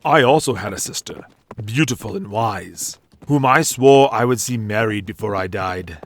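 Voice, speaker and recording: A man's voice: fairly low pitch, normal speed, close to an everyday voice. Man playing a gallant brooding medieval knight, faint noise. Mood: melancholy. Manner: formal, archaic, chivalrous. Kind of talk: comic dub acting.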